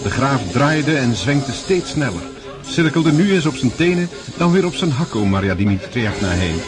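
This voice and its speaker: A man's voice: medium pitch, sing-song, normal speed. A man narrating a radio play in scripted, dramatic storytelling style. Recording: music behind the voice.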